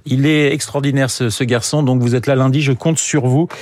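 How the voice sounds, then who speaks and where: A man's voice: mid-range voice, steady tone, fairly quick, a little mellow, dry recording. A man hosts morning radio in a chatty, jovial way. His mid voice is dry and close in the studio. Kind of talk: casual radio banter. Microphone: studio mic.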